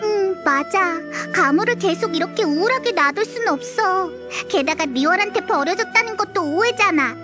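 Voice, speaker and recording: A woman's voice: very high voice, expressive, normal speed, few pauses, over music. An actress playing a tiny childlike fairy girl companion in a video game, casual, chirpy and blunt. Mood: concerned.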